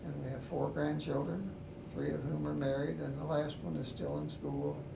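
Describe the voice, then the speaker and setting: Older man, West Virginian accent, halting oral history telling, gravelly, flat delivery, distant mic in a roomy space. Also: on the high side. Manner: unhurried, halting, many pauses and fillers. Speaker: veteran interviewee. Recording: echoey room, mic at a distance, noisy.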